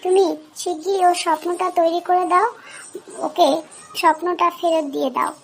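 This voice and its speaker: A woman voicing a helpful fox friend in a children's cartoon, explaining things in a gently bossy way. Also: very high-pitched, steady tone, moderate pace. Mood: scolding.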